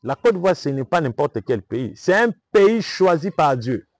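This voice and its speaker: A man's voice: fairly high pitch, sing-song, moderate pace, dry recording. A man with a Congolese French accent from Kinshasa, a self-styled prophet answering interview questions in a preaching manner, emphatic and repetitive, often asking "vous comprenez?".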